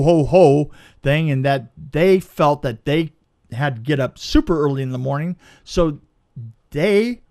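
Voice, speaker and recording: A middle-aged man telling a casual anecdote in an emphatic, halting way, repeating phrases and checking in with the listener. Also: fairly high pitch. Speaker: podcast guest, film crew veteran.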